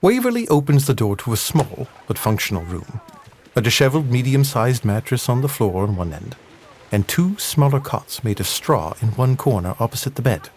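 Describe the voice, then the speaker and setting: A man's voice: mid-range voice, sing-song, normal speed, a little mellow, slight background noise. A man narrating a scripted adventure story for an audio drama.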